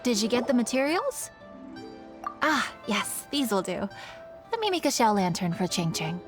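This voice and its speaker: A woman's voice: on the high side, very animated, normal speed, faint music behind. A woman playing a friendly, caring young lantern maker with a breezy big-sister manner in an anime video game. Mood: businesslike.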